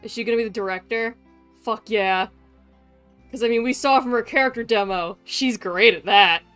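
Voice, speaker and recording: A woman streamer with a somewhat high voice gives casual game commentary. She is dry and flippant in her joking, with faint game music behind her. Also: normal speed, a bit bright. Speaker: game livestreamer.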